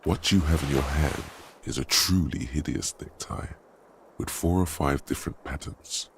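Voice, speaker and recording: A man playing a grave, brooding narrator of a detective game, reading second-person prose in a deliberate, deadpan way. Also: very deep voice, creaky, background noise.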